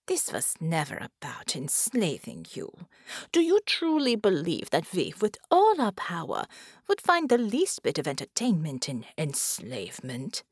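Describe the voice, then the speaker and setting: A bored, haughty alien giantess commander, voiced by a woman narrator. She drawls with hushed, languid contempt, with lively swings in pitch. The sound is close and dry. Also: measured pace, breathy edge, no echo, heavily acted. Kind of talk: audiobook narration.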